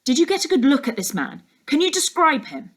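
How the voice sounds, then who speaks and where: A woman voicing a serious ex-detective uncle in a storybook, speaking in a measured, authoritative way. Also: high-pitched, very animated, voice pushed up. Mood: calm, firm. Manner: measured, commanding, matter-of-fact.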